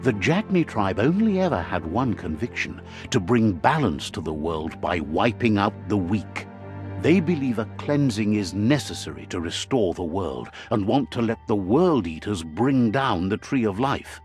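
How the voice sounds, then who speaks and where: A middle-aged man narrates in a low, deep voice with a lot of rise and fall in his pitch. His delivery is measured and wise, like a scripted storyteller, with soft music behind him. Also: sing-song.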